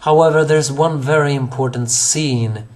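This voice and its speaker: A hoarse young man reviewing an anime episode on his own, rambling with many fillers, restarts and self-corrections. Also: on the high side, moderate pace, a little mellow.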